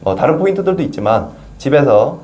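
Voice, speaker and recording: A man, a pain clinic doctor, explaining neck muscles and exercises to a patient in a relaxed, plain-spoken way. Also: mid-range voice, fairly quick. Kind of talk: explanatory health talk with patient.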